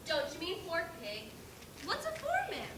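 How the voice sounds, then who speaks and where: A woman's voice: very high-pitched, wildly swooping pitch, moderate pace, exaggerated voice. A young woman playing a goofy, naive pig juror in an amateur stage comedy, playful and simple-minded. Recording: distant, echoey, noisy stage recording.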